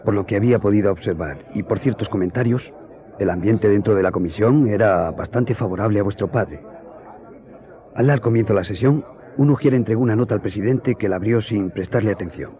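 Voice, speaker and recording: Actor as earnest friend, lowish tinny voice. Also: male voice, lightly acted. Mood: grave, earnest. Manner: formal, measured storytelling. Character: earnest male friend recounting a hearing. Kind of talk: radio drama storytelling. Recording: tinny, narrow-band audio.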